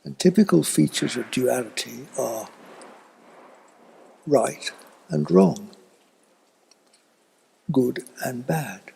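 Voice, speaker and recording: An elderly man giving a slow, reflective spiritual talk in a husky, breathy voice that often fades toward a whisper, with long thoughtful pauses. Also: medium pitch, expressive, dark tone. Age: older, elderly. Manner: contemplative, halting with long pauses. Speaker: spiritual teacher.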